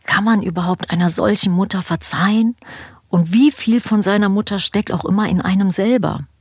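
A woman's voice: medium pitch, moderate pace, husky, dry recording, clean studio sound. A woman presenting a podcast with a scripted introduction, speaking seriously and directly to listeners.

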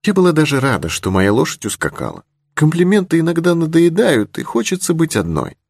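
A man's voice: fairly low pitch, very animated, normal speed, slightly rough, no echo, pitched above the performer's own voice, full character voice. A man voicing a brave, candid young creole lady in an adventure audiobook. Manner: candid, charmingly simple, assured. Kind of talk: audiobook character in adventure novel.

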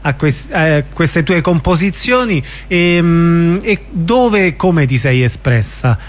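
A man with an Italian accent hosting an informal radio interview, phrasing his questions in a hesitant, halting way. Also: a little high, lively intonation.

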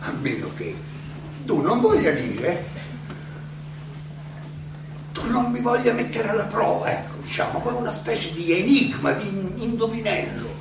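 A man's voice: high voice, big pitch swings, full character voice. A male stage actor playing an elderly, ironic and indignant Athenian philosopher who argues his own defense in a theatrical, insistently questioning monologue. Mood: mocking. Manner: rhetorical, halting then insistent, questioning. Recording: echoey, distant, noisy live stage recording.

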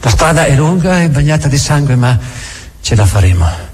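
An actor playing a bitter, domineering old Grand Inquisitor in a theatrical radio drama, halting and repeating his words. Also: fairly high pitch, big pitch swings, darkish tone, slightly rough. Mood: menacing, resolute. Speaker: stage actor.

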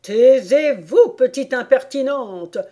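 Woman as a furious, shrieking storybook queen, high-pitched. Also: lively intonation, takes it slowly. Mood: furious, roaring. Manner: shouting, imperious. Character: furious, tyrannical, shrieking queen of playing cards.

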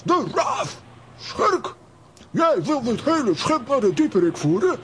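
A man's voice: very high voice, big pitch swings, unhurried, fairly bright tone, slight background noise, full character voice. A man playing a hot-headed, blustering comic adventurer in a children's radio play, theatrical and exclamatory. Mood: indignant, furious.

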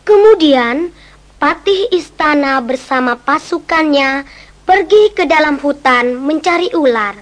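A woman narrating a children's story, animated and speaking to listeners as friends. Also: high voice, slow pace.

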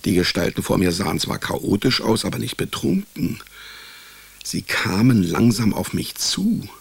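Knarzig-trockener Privatdetektiv. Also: male voice, on the low side, unhurried, vocal fry, slightly rough, exaggerated voice. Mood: deadpan, wry. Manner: deadpan narration, stammering when cornered. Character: wry, bluffing, hapless male private detective narrating his case. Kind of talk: radio drama, first-person hardboiled comic narration.

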